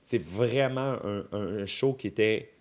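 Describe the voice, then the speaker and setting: A man's voice: lowish voice, a little slow, darkish tone. Chatty Québécois man in his thirties. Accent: Québécois French. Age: 30s. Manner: chatty, explains with examples and asides. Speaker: podcast guest, drag performer. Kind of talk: casual chat.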